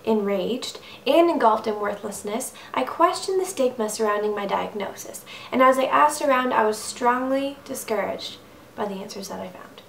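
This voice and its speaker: A woman's voice: fairly high pitch, animated, normal speed, creaky. A young adult woman performing a slam poem in an earnest, emphatic, rhythmic style. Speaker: slam poet. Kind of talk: slam poetry recitation. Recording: roomy, distant mic, faint noise.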